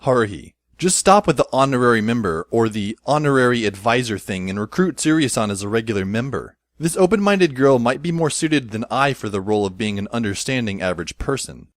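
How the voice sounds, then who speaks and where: A man playing a wry, introspective schoolboy who narrates an audio drama in a dry, measured, literary way. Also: mid-range voice, expressive, dry recording, natural voice. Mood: thoughtful. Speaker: narrator and lead character. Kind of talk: first-person scripted audio drama narration.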